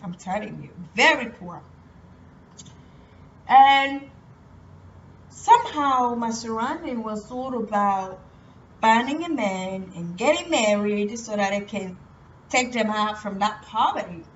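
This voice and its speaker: A young woman from Cameroon speaks slowly and haltingly, often restarting her sentences. Her voice sits fairly high. She sounds set back from the mic on a dull recording with a light hiss behind her. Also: expressive. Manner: hesitant, halting, many restarts. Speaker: vlogger. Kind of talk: personal motivational talk. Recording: distant, muffled, light noise.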